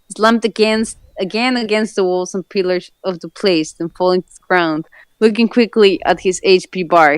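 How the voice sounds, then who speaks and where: A woman's voice: lowish voice, clean tone, no room sound. A woman reading stories aloud in an amateur, casual way, with amused side comments. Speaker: fanfiction reader and commentator.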